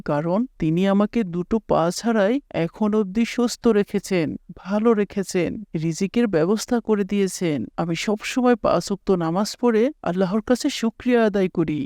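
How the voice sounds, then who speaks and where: Someone acting a pious beggar in a cartoon, earnest n preachy, grateful, mid pitch, dry sound. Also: dark tone, dry recording, natural voice. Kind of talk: Islamic moral cartoon dialogue.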